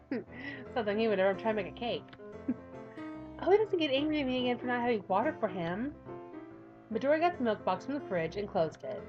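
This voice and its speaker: A woman's voice: a little high, expressive, a little fast, pauses often, muffled recording, music in the background. A woman YouTuber reading a visual novel aloud with casual, playful, giggly commentary. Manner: playful, giggly, self-mocking.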